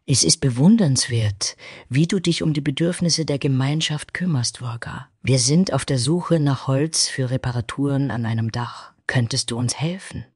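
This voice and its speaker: Man playing a husky, direct woman soldier. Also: medium pitch, normal speed, no echo, studio quality, voice pushed up, close to an everyday voice. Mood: curious, courteous. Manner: direct, matter-of-fact. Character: direct, practical female soldier adventurer.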